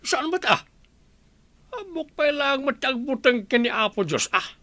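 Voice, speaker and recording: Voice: sits high, lively intonation, a little slow, pushed and bright, no room sound, exaggerated voice. A performer playing a greedy, callous male village villain in an Ilocano radio drama, gloating and taunting. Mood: gloating, scornful.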